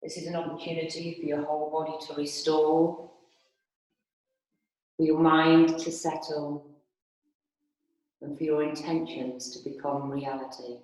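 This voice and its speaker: A woman instructor guiding restorative yoga and meditation in a calm, soothing, unhurried manner with long pauses. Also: low voice. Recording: very echoey, reverberant room.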